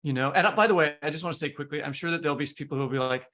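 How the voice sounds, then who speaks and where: A man's voice: fairly high pitch, very fast, sharp tone. A man co-hosting a podcast discussion, talking casually in a blunt, opinionated, pressing way. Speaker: podcast co-host.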